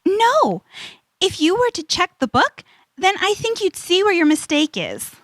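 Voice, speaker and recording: A woman playing a sensible, earnest young adventurer in a children's audio drama, expressive and easily astonished. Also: very high voice, unhurried, dry recording, exaggerated voice. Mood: earnest, explaining. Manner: earnest, expressive, stammers in disbelief.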